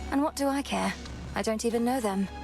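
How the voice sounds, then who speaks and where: An actress playing a young, vengeful princess of the underworld in a video game, formal, solemn and resolute. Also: female voice, on the high side, a little fast, creaky, over music. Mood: cold resolve. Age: young adult. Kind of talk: theatrical video game heroine acting.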